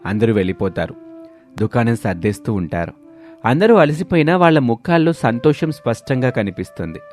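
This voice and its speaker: A man's voice: medium pitch, sing-song, a little fast, darkish tone. Man narrating a scripted story over music.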